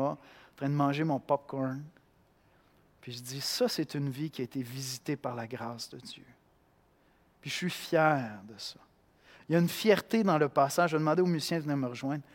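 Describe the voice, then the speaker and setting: A man from Quebec with a Québécois accent, preaching a sermon in an animated way, acting out voices and swinging between loud and hushed. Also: fairly high pitch, in a large hall. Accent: Québécois French. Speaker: pastor.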